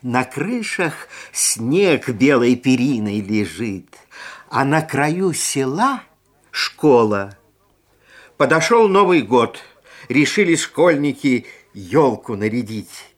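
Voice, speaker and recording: A man narrating a children's fairy tale in a theatrical, expressive way, stretching key words for emphasis. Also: medium pitch. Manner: theatrical, deliberate, drawn-out emphasis. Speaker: storyteller.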